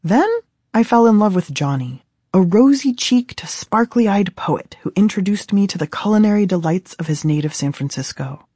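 A woman's voice: mid-range voice, lively intonation, moderate pace, warm tone, slightly rough, no room sound. An American woman in her thirties from Southern California, reading her own book aloud as scripted audiobook narration in a studio. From Southern California, USA. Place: dry recording studio.

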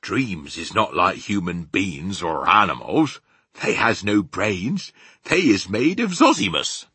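A man's voice: deep voice, sing-song, slow, pushed and bright, slightly rough, no echo, exaggerated voice. A man voicing a big friendly giant from a children's storybook, earnest and proud, with folksy mangled grammar and invented words. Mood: earnest, explaining. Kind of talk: children's storybook character acting.

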